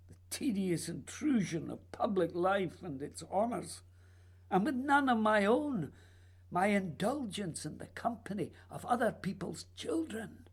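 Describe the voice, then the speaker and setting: An actor plays a restless man of letters in a radio play, earnest and needy. His husky voice swings widely in pitch as he pleads in theatrical, literary phrases. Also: exaggerated voice. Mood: weary, bitter. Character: earnest, restless male writer, needy and intense.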